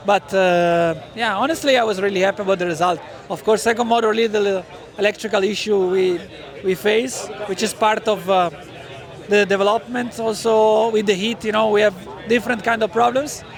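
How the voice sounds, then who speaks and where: A man racer giving a press day interview outdoors, speaking haltingly and searching for words. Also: sits high, fairly even intonation. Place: outdoors at a race track. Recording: outdoor crowd noise.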